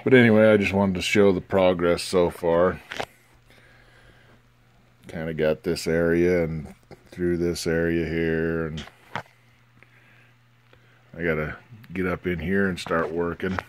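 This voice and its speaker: Low-voiced man, casual and halting. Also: little pitch movement, a little hiss or hum. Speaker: hobbyist radio restorer. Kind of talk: casual narrated progress video. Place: indoors at an electronics workbench. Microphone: handheld phone.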